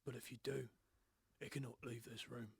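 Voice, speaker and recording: Serious secretive boss man acting, super husky n gravelly, hushed short lines, radio play. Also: mid-range voice, flat delivery, normal speed, no room sound, clean studio sound. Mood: grave, insistent. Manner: hushed, terse. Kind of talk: audio drama dialogue.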